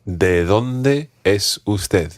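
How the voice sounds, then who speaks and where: A man's voice: on the low side, animated, drawn-out words, no room sound, studio quality. A man teaching a podcast language lesson, patiently modelling pronunciation and repeating drills for a learner. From Scotland.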